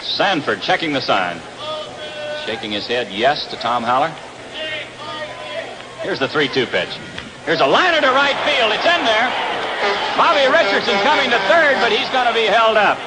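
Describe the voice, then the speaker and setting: A man's voice: high voice, sing-song, moderate pace, long pauses, a crowd in the background. A man with an American accent calls live baseball play-by-play on a noisy 1960s radio broadcast from an outdoor ballpark. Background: loud ambient ballpark noise.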